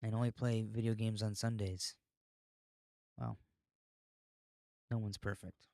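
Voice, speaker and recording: A man's voice: on the low side, steady tone, a little slow, vocal fry, dark tone, no room sound, clean studio sound. A man hosting a podcast in a casual, rambling monologue, informal and given to ranting and swearing. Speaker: podcast host.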